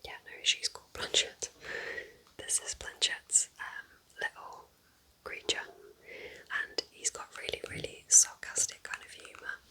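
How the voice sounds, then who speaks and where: A woman whispering breathily and gently in an unhurried, chatty ASMR style, as a kind witch recommending books. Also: poor audio. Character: kind witch welcoming a visitor to her cabin. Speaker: ASMR roleplay host.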